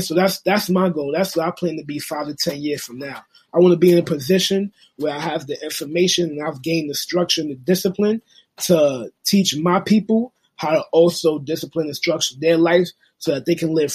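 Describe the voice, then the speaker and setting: Husky, earnest young New man. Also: on the high side, a little mellow. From New York. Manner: earnest, motivational, street slang. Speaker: guest, music industry worker and forex trader. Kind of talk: casual podcast interview.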